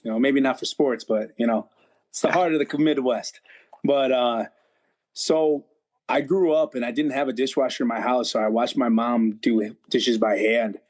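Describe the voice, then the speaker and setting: A man's voice: medium pitch, steady tone, moderate pace, darkish tone. A married man from Ohio, a podcast guest, telling a folksy, rambling story on a video call. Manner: rambling, folksy, lots of "you know". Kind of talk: casual chat.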